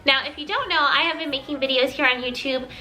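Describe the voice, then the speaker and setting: A woman's voice: high-pitched, quick pace, sharp tone, rough recording. A woman hosting a casual home decor vlog, chatting in an upbeat way with a nasal, congested-sounding voice. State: congested from being sick. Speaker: video host, home decor vlogger.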